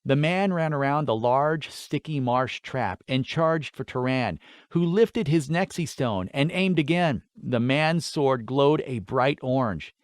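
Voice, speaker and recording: A man's voice: on the high side, clear, resonant tone, dry recording, studio quality. A man narrating a scripted fantasy story as an audiobook reader.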